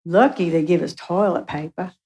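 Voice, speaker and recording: A woman's voice: on the low side, mellow, close to an everyday voice. A woman playing a griping, suspicious patient in a live radio play. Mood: bitter. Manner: drawn-out, griping. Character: bitter, suspicious, complaining patient.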